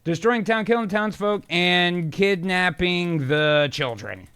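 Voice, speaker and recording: A man's voice: high-pitched, lively intonation, slow pace, fairly bright tone, smooth, no echo. A man hosting and narrating, switching between casual sweary chat and reading screenplay directions aloud. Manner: irreverent, sweary. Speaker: host and narrator.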